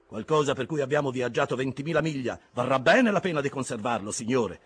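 A man voicing a dutiful, cautious first mate in an audiobook sea drama, husky, deferential and earnest, yet firm. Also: mid-range voice, animated, a little fast, fairly bright tone. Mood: respectful, insistent.

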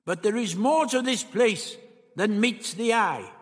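A man voicing an elderly gentleman doctor, dry, fussy and shrewd, with hesitant uh's, in a storybook reading. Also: high voice, unhurried, big-room sound, pitched above the performer's own voice. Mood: musing.

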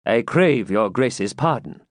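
A man's voice: medium pitch, expressive, slow pace, no echo, studio quality. A man voicing a shrewd, controlled counsellor to a medieval prince, speaking in formal archaic courtly language. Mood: placating.

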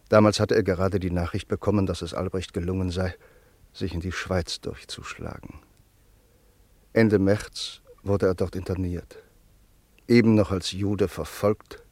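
A man narrating a radio play in a solemn, measured, reflective way. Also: sits low, a little mellow, no room sound.

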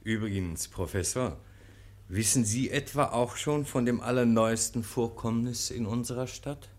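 A man's voice: mid-range voice, a little slow, close to an everyday voice. A man playing an urbane, needling legal counsellor in a German radio play, ironic, teasing and suave. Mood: sly gossip.

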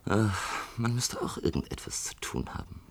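An actor playing a brooding, sardonic out-of-work electrician at a bar in a science-fiction radio play, deep and husky. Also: male voice, big pitch swings, moderate pace, a little mellow, whispered. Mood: bitter. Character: brooding, disillusioned unemployed electrician drinking at a bar.

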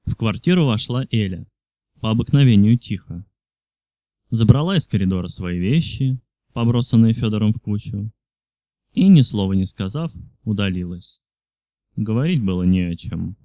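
A man's voice: fairly low pitch, expressive, normal speed, dry recording, lo-fi sound. A man reading literary fiction aloud as a scripted audiobook narration. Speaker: audiobook reader.

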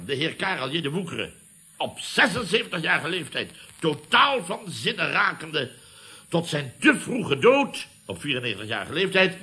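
An actor playing a pompous, indignant older boss in a Dutch radio comedy, declaiming theatrically with a Netherlands accent. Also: male voice, fairly high pitch, sharp tone, exaggerated voice.

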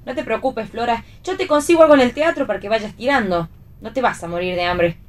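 A woman's voice: a little high, a little fast, recorded from a distance, close to an everyday voice. A woman with an Argentine accent playing a flippant, theatrical young bohemian actress in a radio play reading, teasing and dramatic. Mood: mock-admiring.

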